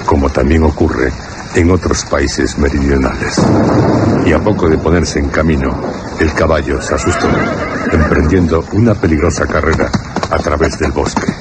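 Very deep man narrating horror. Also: normal speed, very noisy. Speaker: radio drama narrator. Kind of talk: dramatic scripted horror narration. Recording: radio drama with loud music behind. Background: suspense music.

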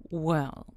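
A woman playing a quick-witted, sympathetic radio advice host in an audio drama, with wry, folksy phrasing. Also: no room sound, studio quality. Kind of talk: audio drama call-in show acting.

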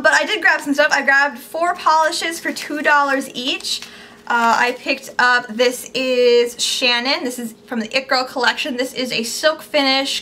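A young woman chatting casually and gushing over her purchases in a haul video, her voice a little squeaky from overuse. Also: sharp tone, slight background noise. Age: young adult. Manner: chatty, upbeat, gushing about products. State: losing her voice, slightly squeaky. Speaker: beauty vlogger. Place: small indoor room at home.

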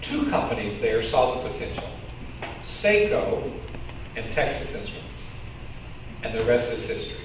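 A man's voice: mid-range voice, background noise. A middle-aged man with a Kentucky accent preaching a sermon to his congregation, telling stories and gesturing as he speaks. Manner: conversational storytelling preacher, gestures with open hands. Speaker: pastor. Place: church sanctuary in front of a curtain. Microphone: stand mic with headset mic, filmed from the congregation. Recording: echoey sanctuary, voice from a distance.

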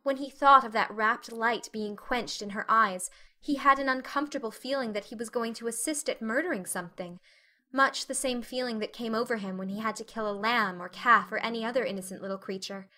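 A female audiobook narrator with a bright, crisp-edged voice. She reads literary prose expressively, in a measured storytelling manner. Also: a little high. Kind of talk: dramatic scripted narration of a novel.

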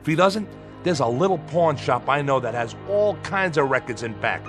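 A man acting in a radio drama as a humble, eager jazz trumpeter with informal, streetwise and halting talk. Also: on the high side, animated, over music, lightly acted. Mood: eager, smitten. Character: self-deprecating eager jazz trumpeter, smitten New York man.

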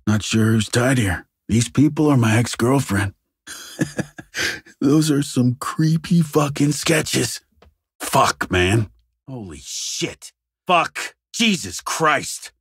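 Casting: a jaded tactical cop for a shooter game, husky and rough, casual wisecracks, lively pitch movement, very dry recording. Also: male voice, no room sound, full character voice. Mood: wry, uneasy, then shocked, disgusted. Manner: casual, muttered wisecracks with swearing. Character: jaded male police SWAT officer, wry and foul-mouthed. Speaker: video game squad member. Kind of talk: video game character barks.

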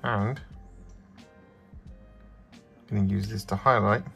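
A man's voice: fairly low pitch, fairly even intonation, takes it slowly, gravelly, pushed and bright. A man giving an instructional voice-over as the host of a painting tutorial. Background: background music.

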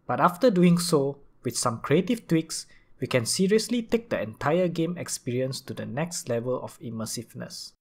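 A man narrating a scripted gaming tutorial as a video creator. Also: mid-range voice.

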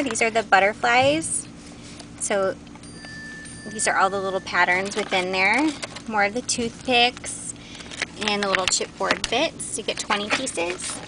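A woman with a mid-pitched voice hosts a casual, unscripted product tour. She talks in a chatty, informal way. The sound comes from a handheld camera in a warehouse, with clear background noise. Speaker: host showing new craft store products. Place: large indoor warehouse aisles. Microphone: handheld camera's built-in mic.

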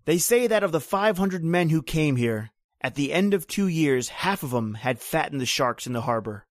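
An actor playing a bitter, grim island native who tells an old horror tale in brooding, rhythmic, folksy phrases, in old-time radio drama style. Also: male voice, on the high side, animated, normal speed, no echo, clean studio sound, lightly acted. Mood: grim, resigned.

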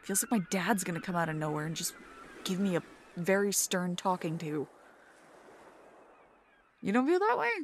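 A young woman doing a soft, playful, teasing ASMR girlfriend roleplay. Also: on the low side, sing-song, moderate pace, pauses often, a little hiss or hum.

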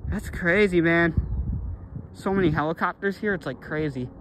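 Excitable young man outdoors on a dock. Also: on the high side, normal speed, background noise. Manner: excitable, exclaims over finds. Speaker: magnet fishing vlogger. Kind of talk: excited casual commentary. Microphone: handheld phone or body camera.

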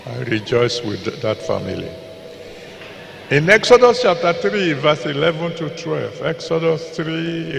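An older man with a Nigerian accent preaching a sermon into a handheld mic in a large packed auditorium, slowly repeating scripture references and decreeing blessings. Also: on the high side, vocal fry, slight background noise. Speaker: pastor. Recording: echoey hall with long reverb.

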